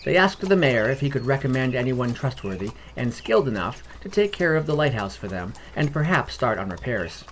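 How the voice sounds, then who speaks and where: A man's voice: mid-range voice, sing-song, fairly quick, hardly any pauses, a little hiss or hum. A man reading scripted fantasy fiction as the narrator.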